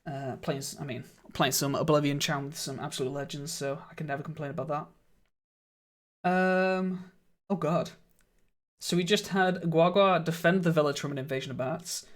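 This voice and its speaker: A man streaming a game live, chatting casually with viewers and laughing often, his voice a little croaky. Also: a little high, fairly even intonation, normal speed. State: slightly croaky voice. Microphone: studio microphone.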